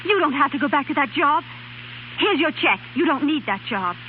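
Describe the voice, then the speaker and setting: An actress playing a proud, hard-working young American wife, earnest and emotional, in 1930s radio drama style. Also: female voice, high-pitched, animated, brisk, slight background noise. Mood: pleading. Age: young adult. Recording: muffled 1930s radio broadcast, music.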